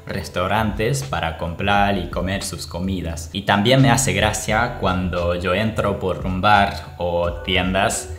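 A young man from Japan with a Japanese accent, speaking non-native Spanish in a casual, expressive vlog style to camera. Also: sits low, slow pace, hardly any pauses. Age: young adult. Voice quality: non-native Spanish with small grammar slips. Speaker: vlogger. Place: small plain indoor room. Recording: roomy echo. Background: music.

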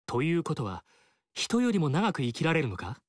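An earnest, righteous young warlord. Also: male voice, fairly high pitch, wildly swooping pitch, moderate pace, dry recording, clean studio sound. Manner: earnest, resolute and formal. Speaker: game character. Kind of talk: game dialogue acting.

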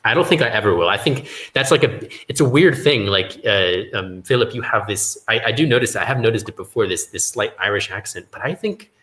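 A young man from New York chatting casually on a video call from home, pausing and repeating words as he thinks. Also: lowish voice, expressive, a bit bright. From New York, USA. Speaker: meetup participant. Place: bright room at home, indoors. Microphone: video call laptop mic. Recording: roomy echo.

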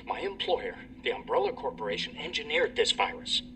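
An actor playing a desperate middle-aged scientist recording an urgent, bitter confession for a video game. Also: male voice, mid-range voice, big pitch swings, fairly quick, recorded from a distance, music in the background, natural voice. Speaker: research chief recording a message. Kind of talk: video game cutscene acting.

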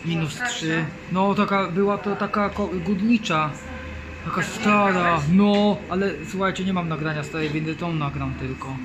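A man's voice: high-pitched, moderate pace, a crowd in the background. A young man giving casual, enthusiastic handheld commentary to his viewers about lifts. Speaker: lift enthusiast vlogger. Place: indoor lifts and lift lobbies. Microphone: handheld phone. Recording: noisy handheld phone in lift areas.

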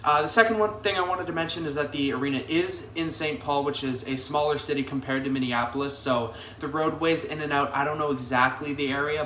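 A man's voice: medium pitch, sharp tone, slight background noise. A man in his twenties giving a casual, upbeat arena review to camera from a hotel room, with easygoing fillers and restarts. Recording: echoey room, mic a bit distant.

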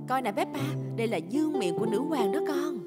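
A woman voicing a kind, patient mother pig in a children's cartoon dub, gentle and expressive. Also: high-pitched, fast. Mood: gently explaining. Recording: reverberant, with music behind.

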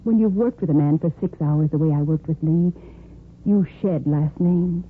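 A woman's voice: low voice, normal speed, natural voice. An actress playing an earnest, romantically torn young woman doctor in a 1950s radio soap opera, with dramatic phrasing. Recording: noisy tinny 1950s radio recording.

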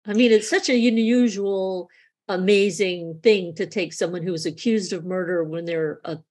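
A woman's voice: lowish voice, normal speed, a little mellow. A woman hosting a conversational podcast interview, talking in an effusive, emphatic way.

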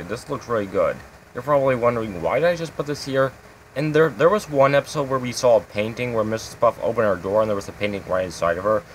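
A man's voice: medium pitch, a little hiss or hum, low-quality recording. A man hosting his own game channel, giving a casual, rambling tour of his builds with lots of fillers.

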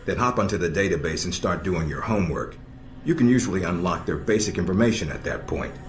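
An actor playing a calm, sardonic middle-aged spy handler in a video game, measured and dryly blunt. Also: male voice, deep voice, slight background noise. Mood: measured, instructive. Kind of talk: video game cutscene acting. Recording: roomy echo with music behind.

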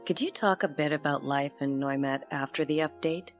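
Woman acting a low-voiced, composed female reporter. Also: moderate pace, faint music behind, close to an everyday voice. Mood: composed, inquisitive. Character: measured, inquisitive female reporter narrating an investigation. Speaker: investigative reporter narrator. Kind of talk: scripted audio drama narration.

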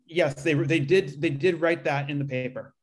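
Man, fairly high pitch, research reader, question-and-answer delivery, video call. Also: fairly even intonation, moderate pace, slight background noise. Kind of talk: reading aloud and answering questions. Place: indoors on a group video call.

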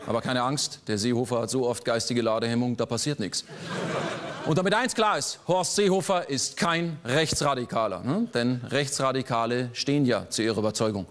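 A man in his forties performing a political cabaret monologue on a theater stage, sardonic, landing dry punchlines with sudden jumps in pitch. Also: brisk, a bit bright, a little hiss or hum. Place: large theater stage before a live audience.

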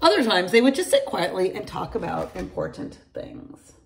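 A middle-aged woman reading a children's picture book aloud to her class, in an animated storytelling manner, recorded on a close phone camera in a large room at home. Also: medium pitch, moderate pace, a little hiss or hum. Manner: animated storytelling, addresses children by name, gravelly edge. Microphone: phone or laptop camera at close range.

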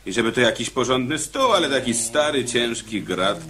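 An actor playing an irritable, stubborn convict in a radio drama, speaking in a gruff, exclamatory, grumbling way. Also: male voice, medium pitch, lively intonation, normal speed, close to an everyday voice. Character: irritable, stubborn male convict with blunt principles.

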